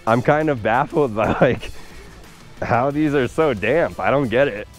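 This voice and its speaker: A man's voice: mid-range voice, unhurried. A young man giving a laid-back, conversational product review to camera as a ski reviewer, outdoors. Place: outdoors at a snowy ski slope. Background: music.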